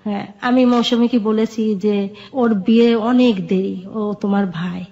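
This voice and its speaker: Middle-aged woman, Bangladeshi accent, high voice. Earnest personal storyteller in interview, lo-fi sound. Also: normal speed, warm tone. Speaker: guest telling her life story. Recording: dull, muffled lo-fi audio.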